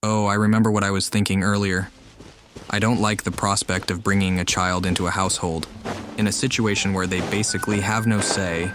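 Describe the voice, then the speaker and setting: A man casually commenting over gameplay, thinking out loud in a relaxed way. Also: lowish voice, fairly quick, music in the background. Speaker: let's play gamer.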